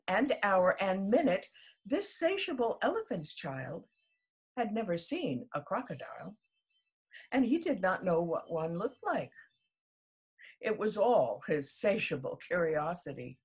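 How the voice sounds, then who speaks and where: A woman reading a children's story aloud as storyteller, with theatrical, deliberate emphasis. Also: mid-range voice, breathy edge.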